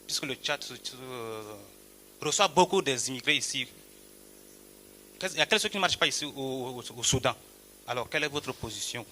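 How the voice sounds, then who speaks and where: Halting journalist with a bright voice. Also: male voice, mid-range voice, animated, slight background noise. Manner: hesitant, halting, many fillers. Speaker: journalist asking a question. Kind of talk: press conference question.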